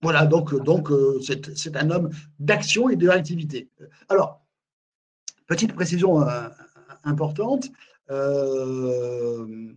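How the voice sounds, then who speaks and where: A man's voice: fairly high pitch, husky. A man presenting a management webinar on a video call, emphatic, repeating words and often tagging on "en fait, hein". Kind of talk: live webinar talk on management.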